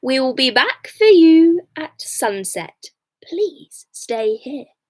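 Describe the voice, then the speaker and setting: A horrible storybook stepmother, acted by a woman, bossy and scheming. She speaks slowly in a high voice with big swings of pitch. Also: sing-song, no room sound, studio quality, exaggerated voice. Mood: falsely sweet.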